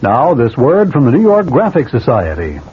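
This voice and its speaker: A man giving radio station announcements in a brisk, official manner. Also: medium pitch, wildly swooping pitch, highs cut off. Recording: thin vintage radio with hiss.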